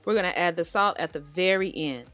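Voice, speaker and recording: A woman's voice: on the low side, a little fast, a bit bright, dry recording. An American woman hosting a cooking show, narrating a recipe casually and talking directly to viewers in a conversational way. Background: background music.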